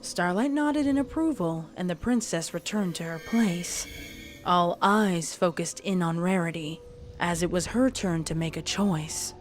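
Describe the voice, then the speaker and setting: A woman narrates a dramatic fan fiction story from a script. Her voice sits slightly low for a woman. Soft music runs underneath the reading. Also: a little slow.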